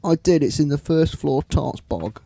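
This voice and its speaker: Dopey literal workman, soft mellow, bouncy pitch, radio comedy. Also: expressive, a little slow, vocal fry, no echo, exaggerated voice. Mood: sheepish. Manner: hapless, naive. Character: dim, literal-minded, apologetic male maintenance worker.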